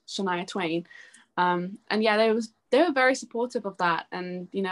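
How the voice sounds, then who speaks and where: A woman's voice: medium pitch, a bit bright. A young woman talking informally as an interview guest, chatty and peppering her sentences with casual filler words. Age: young adult. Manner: chatty, informal, often says "like" and "you know". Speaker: interview guest, singer-songwriter.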